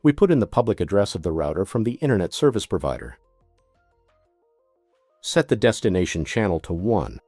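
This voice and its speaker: Male tutorial narrator, methodical product demonstrator with animated intonation, recorded close and dry. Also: sits low, a little fast, long pauses, no room sound, faint music behind. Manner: methodical, step-by-step instruction.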